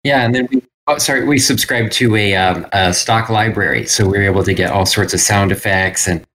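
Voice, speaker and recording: A man chatting casually as an interview guest, in a halting, hesitant way. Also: lowish voice, fairly bright tone. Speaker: guest, self-published co-author.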